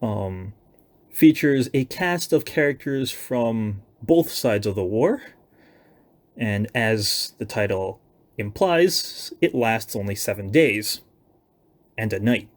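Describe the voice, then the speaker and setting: A man's voice: lowish voice, lively intonation, slow pace. A young man giving a casual, loosely planned announcement of his own writing projects, with self-deprecating, hedging asides. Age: young adult.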